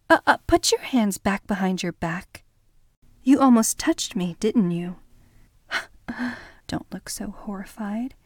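A woman speaking in a soft, breathy whisper for an ASMR roleplay, teasing and commanding. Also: mid-range voice, dry recording.

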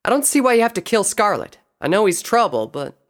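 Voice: medium pitch, sing-song, a little fast, no echo, clean studio sound, voice pushed up, natural voice. A narrator voicing a feisty, scolding female farm pony with a blunt, folksy way of talking. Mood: protesting.

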